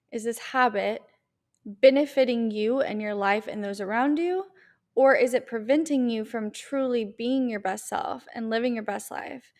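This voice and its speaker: A woman's voice: on the high side, darkish tone. A woman co-hosting a casual podcast, upbeat and cheerful with a dry, teasing side. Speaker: podcast co-host.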